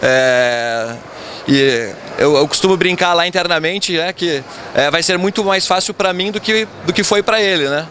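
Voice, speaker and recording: A man in his thirties, a company's incoming president, speaking in a measured way with many filler tags in an event interview. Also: high voice, bright, forceful tone, a little hiss or hum. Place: event stage backdrop, indoors. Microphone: handheld mic held by interviewer.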